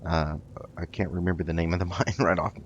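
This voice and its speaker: A man hosting a roleplay gaming video, improvising in character with a polite, halting delivery. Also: sits low, fairly even intonation, muffled recording, slight background noise. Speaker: gaming host.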